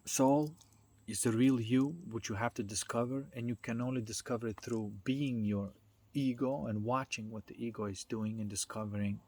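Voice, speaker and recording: A man giving an informal, unscripted talk as a spiritual self-development speaker, halting as he searches for words and often checking in with the listener. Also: mid-range voice, fairly even intonation, darkish tone. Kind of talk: informal unscripted explanatory monologue. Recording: noticeable background noise.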